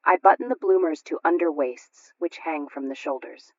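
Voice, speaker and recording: A woman voicing a forceful, opinionated mother in a radio sketch, emphatic and self-assured. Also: deep voice, normal speed, no room sound. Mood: triumphant, firm. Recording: dull lo-fi radio recording.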